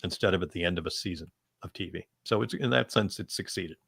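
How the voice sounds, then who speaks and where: A man with a somewhat low voice takes part in a casual fan panel. He thinks aloud in long, measured sentences, and the sound is dry with little room echo. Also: vocal fry, a bit bright, breathy edge. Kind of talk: casual discussion.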